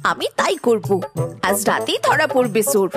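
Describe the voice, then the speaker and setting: Scolding woman over loud music. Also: fairly high pitch, sing-song, measured pace. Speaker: voice actor voicing the housewife and the maid. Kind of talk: cartoon dialogue.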